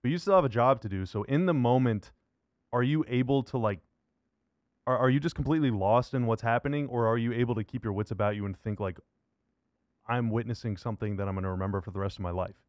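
Male podcast host for casual sports chat, brisk and informal, medium-pitched, very dry studio-like sound. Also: dry recording. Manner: informal, often says "like".

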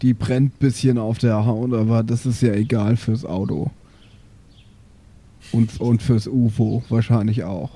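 A man's voice: mid-range voice, steady tone, unhurried, dark tone, slightly rough. A man playing a mild, fussy, good-natured dad with a hesitant way of talking in an improvised audio drama.

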